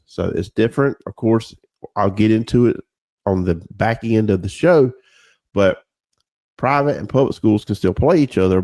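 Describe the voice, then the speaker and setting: A man hosts a casual sports podcast in a lowish, gravelly voice. He sounds slightly nasal and congested from a cold. His delivery is informal and conversational, recorded dry and close. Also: warm tone, no echo. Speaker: podcast host.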